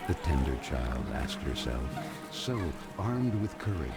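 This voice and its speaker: Deep, gravelly storybook narrator. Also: male voice, sing-song, measured pace, exaggerated voice. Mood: wondering, dramatic. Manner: theatrical, ornate phrasing. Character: theatrical storybook narrator, deep-voiced man, grave and whimsical. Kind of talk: dramatic fairy-tale narration. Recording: music behind the voice.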